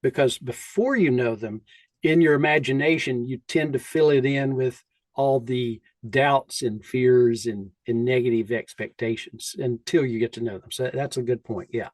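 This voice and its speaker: A man co-hosting a conversational podcast, explaining his thoughts in a measured, reflective way. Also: mid-range voice, no echo. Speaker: co-host.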